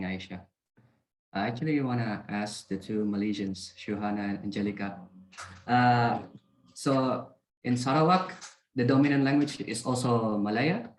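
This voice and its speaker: A man's voice: on the low side, normal speed. Casual male moderator, echoey room. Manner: relaxed, casual phrasing. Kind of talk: moderating a panel talk. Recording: roomy echo.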